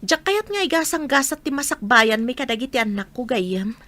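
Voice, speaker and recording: A woman's voice: sits high, very animated, normal speed, a bit bright. A woman acting a weary, bitter single mother in a Filipino audio drama.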